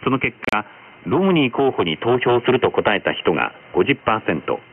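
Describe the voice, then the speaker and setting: A man reading scripted news as a radio announcer over shortwave, in a formal, measured manner. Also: on the low side. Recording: noisy, narrow-band shortwave radio reception. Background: radio static and noise.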